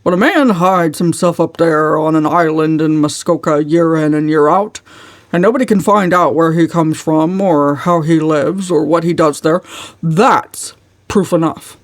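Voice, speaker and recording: A woman's voice: deep voice, a little slow, dry recording, studio quality. A woman voicing a pompous, indignant older man in a storybook, with emphatic, scornful delivery. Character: blustering, indignant, pompous old uncle.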